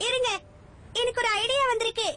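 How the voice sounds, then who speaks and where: A bubbly, excited young heroine. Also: female voice, extremely high pitch, fairly quick. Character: excited young woman designer. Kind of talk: dubbed cartoon dialogue.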